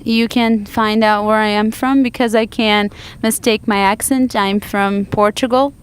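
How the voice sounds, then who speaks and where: A woman with a smooth, clear voice of middle pitch works as a live interpreter, rendering a panel discussion into English from a conference booth. She keeps stopping mid-phrase, backing up and correcting herself as she tries to keep pace with the speakers. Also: steady tone. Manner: halting, frequent restarts and self-corrections.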